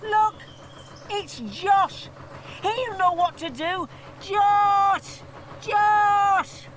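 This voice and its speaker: A woman's voice: very high voice, extremely slow, a bit bright, slight background noise, exaggerated voice. A woman voicing an eager, impulsive young boy in a children's cartoon, with an insistent manner. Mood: excited.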